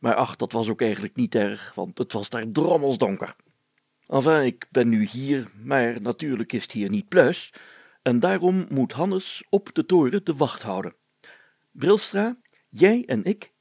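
Village mayor male narrator in character: blustering, commanding, colourful, very gravelly, children's tale. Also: medium pitch, expressive, a little slow, a little mellow, no room sound, full character voice.

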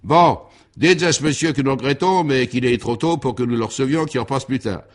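A man's voice: medium pitch, a little fast, pitched above the performer's own voice, close to an everyday voice. A man voicing a brusque, questioning male detective in a comic radio serial. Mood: brusque, puzzled. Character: Sylvain, brusque, curious male comic detective roused from bed.

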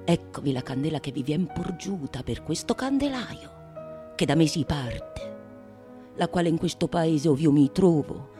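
A grave, ardent philosopher in a radio theatre monologue. The delivery is slow and solemn, declaimed with long deliberate pauses, with music in the recording. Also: female voice, sits low, expressive, mellow. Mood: ardent, hushed.

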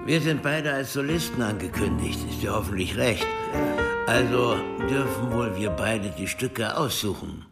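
A veteran jazz musician for radio, easygoing and wry. The voice is low and gravelly with slangy, casual phrasing, over clear music. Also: male voice, expressive, unhurried. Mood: wry, friendly. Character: easygoing veteran male jazz musician, long settled abroad. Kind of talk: radio drama dialogue.

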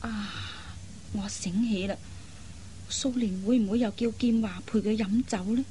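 A woman playing an anxious, caring elder sister in an expressive, earnest radio drama style. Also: medium pitch, measured pace, close to an everyday voice. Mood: concerned, puzzled. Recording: faint background noise.

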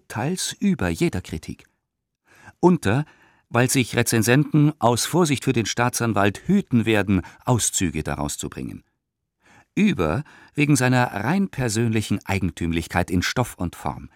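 A man reading historical letters and documents for a radio play in a theatrical, measured manner, close to a studio microphone. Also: medium pitch, big pitch swings, no room sound.

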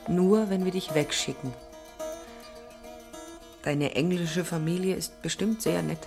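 A low-pitched mother of a young girl in a radio drama. She is firm and protective, giving instructions with restraint. Music plays behind her. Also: a little slow, vocal fry. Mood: earnest reassuring. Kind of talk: radio play acting.

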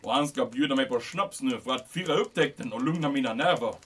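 An actor playing an excitable, self-important German camp commandant, speaking Swedish with a German accent. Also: male voice, medium pitch, slightly rough. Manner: pompous, excitable. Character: fussy German camp commandant, middle-aged man. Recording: distant from mic.

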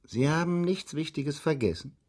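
An actor playing a methodical, politely insistent English police inspector in a radio drama, speaking deliberately and with theatrical emphasis. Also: male voice, a little high, expressive, a little mellow. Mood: probing.

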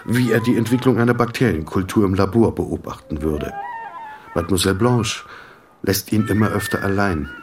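A man narrating a radio drama in a sober, measured, detached manner, in short sentences. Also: on the low side, far from the mic, lightly acted. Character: sober, detached male storyteller of a hospital tale.

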